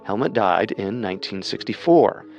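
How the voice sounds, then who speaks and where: A man narrating a scripted true-crime story in a measured, explanatory way. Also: lowish voice, expressive, gravelly, darkish tone, lo-fi sound. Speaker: co-host narrator. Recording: music under the voice.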